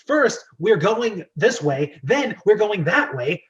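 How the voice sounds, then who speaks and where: A man's voice: sits high, sing-song, slow pace, highs cut off, pitched above the performer's own voice, full character voice. A man voicing a confused, whiny, indecisive travel companion in an amateur script reading.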